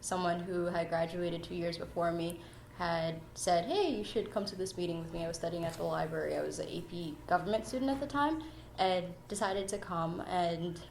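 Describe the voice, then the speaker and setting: A woman's voice: on the low side, moderate pace, creaky, a little mellow. A nineteen-year-old woman from New York City giving a personal interview as an officer of a youth organization. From New York City, United States. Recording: echoey room with faint noise.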